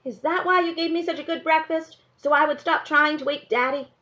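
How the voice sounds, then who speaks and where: An earnest country girl from a storybook, voiced by a woman reader, stubborn yet tender. The voice lifts higher than plain narration, with a slightly dull lo-fi sound. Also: fairly even intonation, fairly quick, bright, forceful tone. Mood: questioning. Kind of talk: storybook character dialogue.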